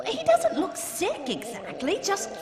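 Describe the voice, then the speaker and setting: A woman playing a brisk, blunt upper-class lady animal keeper in a live audio drama. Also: extremely high pitch, huge pitch swings, a crowd in the background, exaggerated voice. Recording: echoey live hall, music behind.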